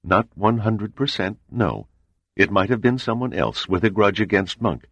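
A man voicing a calm male intelligence official who speaks impassively and evasively. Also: fairly low pitch, normal speed, dry recording, lo-fi sound, pitched above the performer's own voice.

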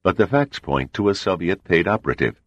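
A man voicing a calm male intelligence official who speaks impassively and evasively. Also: sits low, fairly quick, dry recording, highs cut off, voice pushed up.

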